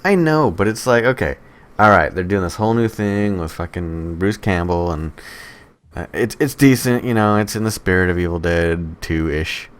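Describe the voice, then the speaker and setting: Deep-voiced man, profane casual podcast chatter. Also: lively intonation, normal speed, clean tone. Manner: profane, slangy. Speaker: podcast co-host. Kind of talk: casual chat about films.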